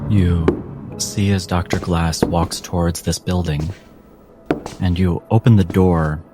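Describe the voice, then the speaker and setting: A man narrating as game master in a dramatic, measured, suspenseful style with long pauses. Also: low voice, steady tone. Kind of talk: dramatic second-person tabletop narration. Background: background music.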